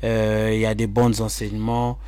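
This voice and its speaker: A man's voice: fairly low pitch, little pitch movement, normal speed, dark tone, clean tone, no echo. A man from the Republic of the Congo with a Congolese accent, chatting casually as a guest musician. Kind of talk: casual interview chat.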